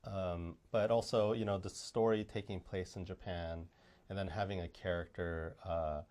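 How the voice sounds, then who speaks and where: A man from the United States, a film director, speaking haltingly with many pauses in a casual interview. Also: deep voice, steady tone, a little mellow. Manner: halting, many ums and restarts.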